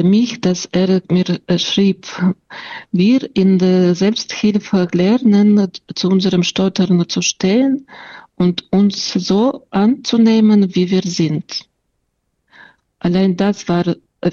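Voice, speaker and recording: A woman's voice: fairly low pitch, mellow, no echo, highs cut off. A woman with a Russian accent who stutters, telling her story and answering interview questions, with sound blocks and many fillers. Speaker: interview guest. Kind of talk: personal account and interview answers.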